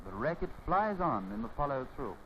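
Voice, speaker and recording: A man narrating a 1930s instructional film from a script, formal and didactic, with measured phrasing. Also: mid-range voice, very animated. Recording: scratchy, muffled 1930s film soundtrack.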